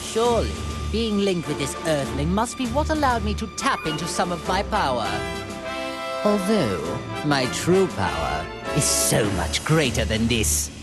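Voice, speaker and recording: A woman's voice: low voice, sing-song, unhurried. A woman voicing an imperious, condescending alien tyrant in a video game, haughty and coldly polite. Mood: smug, calculating. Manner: haughty, mocking, formal phrasing. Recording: loud music behind. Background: loud game music.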